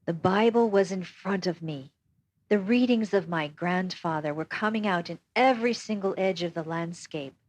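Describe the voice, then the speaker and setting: Audiobook reader: woman, lowish pitch, steady first-person storytelling. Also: normal speed. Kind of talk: scripted first-person narration.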